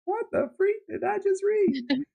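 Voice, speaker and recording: A man's voice: extremely high pitch, lively intonation, measured pace, creaky, dull audio. A man chatting casually and emphatically about books as a podcast host, with enthusiastic, colloquial delivery. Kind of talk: casual book-review chat.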